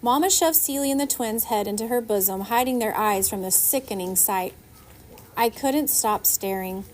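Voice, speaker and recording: A woman narrating a children's novel aloud in an expressive storytelling style. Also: on the high side, moderate pace. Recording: faint background noise.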